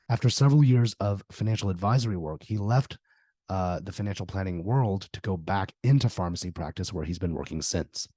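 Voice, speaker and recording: A hoarse man with a lowish, dark voice hosts a podcast interview. He talks in a friendly, easygoing way, close and dry on the mic. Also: no room sound. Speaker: podcast host.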